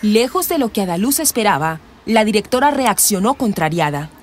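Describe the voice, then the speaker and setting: A woman's voice: mid-range voice, big pitch swings, moderate pace, a little hiss or hum. A woman narrating a scripted radio drama. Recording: music behind the narration.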